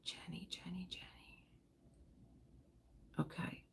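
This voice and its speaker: A woman hosting a relaxed livestream, chatting in a rambling way while she crafts and answers viewers. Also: slow, whispered. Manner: rambling, chatty, laughs often, reads and answers viewer comments. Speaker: livestream host. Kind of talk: casual livestream chat while diamond painting.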